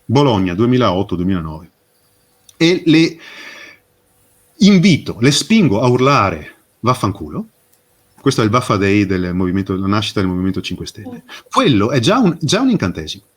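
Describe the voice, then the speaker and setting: A man speaking as an interview guest, explaining with passion and emphasis, posing rhetorical questions and pausing for effect. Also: on the low side, big pitch swings, husky. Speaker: interview guest, fairy tale expert. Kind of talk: impassioned explanatory interview talk.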